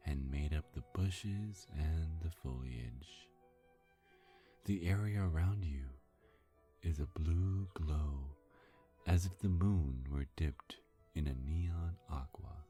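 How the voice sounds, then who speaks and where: A narrator telling a scripted ASMR story in a hushed whisper, deliberately, with long pauses between phrases. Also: a bass voice.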